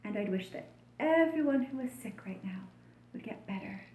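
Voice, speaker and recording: Female children's storyteller, soft warm tone, expressive deliberate reading, echoey room sound. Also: mid-range voice, a little hiss or hum. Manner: expressive, deliberate storytelling addressed to young listeners.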